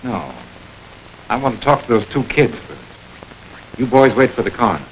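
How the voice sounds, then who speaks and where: A man's voice: medium pitch, very animated, close to an everyday voice, poor audio. A man playing a stern radio-drama police investigator. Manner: firm, measured interrogating. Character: stern middle-aged male police investigator. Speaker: police lieutenant. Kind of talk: 1940s radio acting. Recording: hissy old radio recording.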